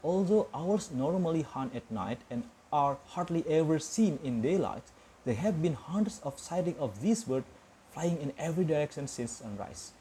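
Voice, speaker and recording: A man's voice: on the high side, animated, normal speed, mellow, a little hiss or hum, natural voice. A man doing a polished evening newsreader. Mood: newsy. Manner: polished news-anchor delivery.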